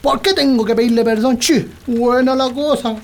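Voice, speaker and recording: An actor playing a grumpy, stubborn, sleepy old country husband in a comic radio drama, grumbling with a Chilean accent. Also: male voice, very high voice, steady tone, normal speed. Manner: grumbling, colloquial.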